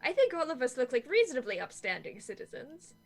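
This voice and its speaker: A woman playing a tabletop game, briskly organizing the group and steering the talk. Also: high voice, wildly swooping pitch, faint music behind. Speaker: tabletop player in character. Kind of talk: casual roleplay chat.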